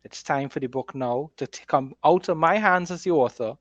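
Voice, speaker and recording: A man's voice: fairly high pitch, normal speed, no echo, highs cut off. A man hosting a casual conversation as an author, explaining things with enthusiasm. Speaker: host, author. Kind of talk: casual conversational talk about writing.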